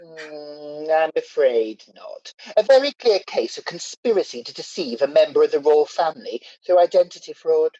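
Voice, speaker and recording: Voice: medium pitch, expressive, moderate pace, few pauses, warm tone, no echo, highs cut off, lightly acted. An actor playing a wicked, scheming, cynical pantomime villain in a radio play, sneering and gloating theatrically.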